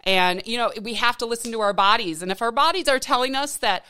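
A woman's voice: a little high, fast, pushed and bright, smooth. A woman in her late thirties hosting a podcast, talking in an earnest, encouraging way with frequent restarts. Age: 30s. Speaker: podcast host. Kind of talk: casual interview chat.

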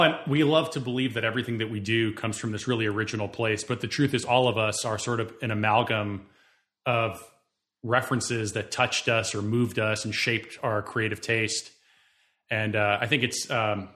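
A man interviewing a guest, speaking in a measured, articulate, reflective way. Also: lowish voice, steady tone, fairly bright tone. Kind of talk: thoughtful interview questions.

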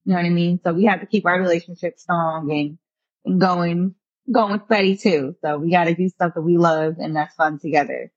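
A woman's voice: lowish voice, fairly quick, dry recording, dull audio. A young adult married woman co-hosting a podcast, chatting easily and saying "you know" a lot. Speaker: podcast co-host. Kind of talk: casual podcast chat.

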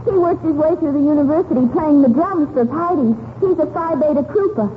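A woman's voice: sits high, a little fast, close to an everyday voice. An actress playing a flighty, gushing young woman full of breezy slang in a 1940s radio comedy. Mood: gushing, giddy. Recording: tinny, hissy 1940s radio recording.

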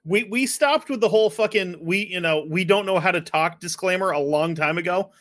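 A man's voice: high-pitched, a little fast, a bit bright. A man co-hosting a podcast, cracking sarcastic jokes and swearing heavily. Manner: sarcastic, heavy swearing, jokey interjections. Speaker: podcast co-host. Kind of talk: casual podcast banter.

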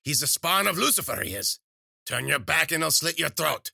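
A man's voice: fairly high pitch, lively intonation, normal speed, gravelly, sharp tone, no echo, clean studio sound, pitched above the performer's own voice, heavily acted. A man voicing a spiteful old woman witch, scolding and cursing.

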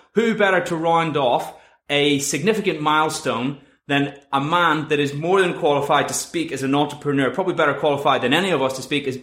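A man's voice: fairly high pitch, fairly bright tone. Chatty man hosting a podcast. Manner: chatty, upbeat, British colloquial. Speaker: podcast host. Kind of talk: casual podcast interview. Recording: echoey room.

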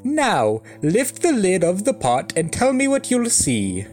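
A man's voice: sits high, wildly swooping pitch, measured pace, darkish tone, pitched above the performer's own voice, full character voice. A man voicing an old village wise-woman in a storybook tale, cryptic and commanding in a folksy way. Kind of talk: storybook fairy-tale character voice.

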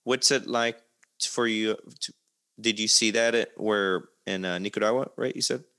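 A man's voice: on the low side, moderate pace, fairly bright tone, smooth. A man hosting a conversational interview, asking wordy, reflective questions in a roundabout way. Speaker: interview host. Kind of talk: conversational long-form interview.

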